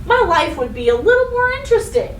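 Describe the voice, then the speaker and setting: A woman voicing a chatty, dissatisfied triangle from a children's storybook, in an expressive, plaintive manner. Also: very high-pitched, fast, voice pushed up. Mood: discontented, wishful. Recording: roomy, distant mic with faint noise.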